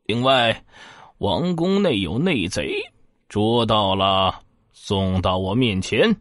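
A man's voice: lowish voice, lively intonation, takes it slowly, a bit bright, no echo, clean studio sound. An actor playing a prematurely aged, commanding yet anxious ancient Chinese king in a formal, imperious audio drama style. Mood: cold, resolute.

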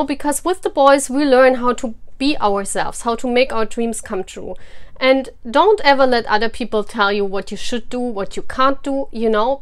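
German lady talking tarot to her fans, smooth clear voice a bit high, giggles a lot. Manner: chatty, laughs often, warm encouragement to fans. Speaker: tarot reader. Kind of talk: casual chat to camera.

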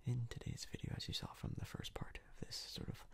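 A man giving soft-spoken whispered ASMR game commentary in a breathy, husky voice, rambling hesitantly and repeating words. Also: a little fast. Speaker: gaming video host.

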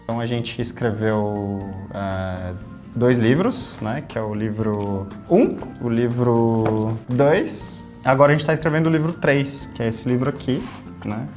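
A man in his thirties with a São Paulo Brazilian accent, talking casually in an interview with lively gestures and frequent tag questions. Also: medium pitch, unhurried, music in the background. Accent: Brazilian Portuguese, São Paulo. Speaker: YouTube creator. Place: small office studio.

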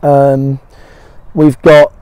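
Bloke, middle aged football manager doing post match chat, blunt n matey, says stuff twice to make the point. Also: male voice, mid-range voice, little pitch movement, extremely slow, warm tone, smooth, no room sound, rough recording. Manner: blunt, matey, repeats short phrases for emphasis. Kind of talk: casual post-match interview talk. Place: outdoors on an empty football pitch by a small stand.

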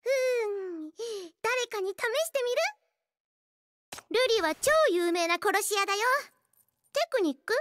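A very high-pitched, cocky gambler girl. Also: a little slow, long pauses, no echo. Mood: teasing. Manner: cute, teasing, refers to herself by name. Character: playful, cocky young girl assassin who loves gambling. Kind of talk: Japanese anime-style game voice acting.

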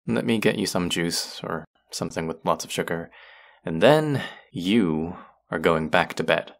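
Man playing a deep, gravelly young male vampire. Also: expressive, unhurried, exaggerated voice. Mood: wry, caring. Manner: earnest, pleading, wry. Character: hungry young male vampire, earnest, wry, protective. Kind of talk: intimate audio roleplay drama.

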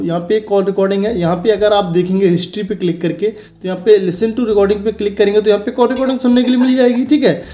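A man's voice: high-pitched, warm tone. A young man from India hosting a phone tutorial, explaining each step casually and directly to viewers, often adding short check-in tags. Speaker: host of a phone tutorial channel.

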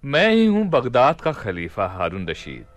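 A man playing a commanding yet gracious Caliph of Baghdad in a radio play, with measured, regal, formal diction. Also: mid-range voice, huge pitch swings, faint music behind. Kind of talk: Hindi radio play acting.

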